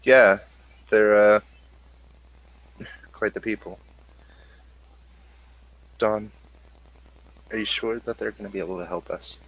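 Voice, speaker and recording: Man voicing a halting, shaken woman survivor. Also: lowish voice, steady tone, creaky, fairly bright tone, dry recording, heavily acted, rough recording. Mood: wary, trusting. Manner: halting, informal phrasing.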